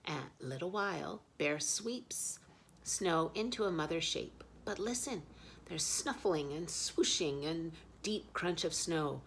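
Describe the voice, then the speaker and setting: A woman telling children's stories in an expressive read-aloud style. Her voice is low with a creaky edge, and she takes her time over the phrases. Faint noise sits behind her. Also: takes it slowly. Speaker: children's storyteller.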